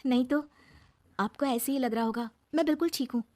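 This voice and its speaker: Casting: a woman as a meek young wife in an animated family tale, high voice, placating. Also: brisk, natural voice. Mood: reassuring. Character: gentle, submissive young daughter-in-law, apologetic and reassuring.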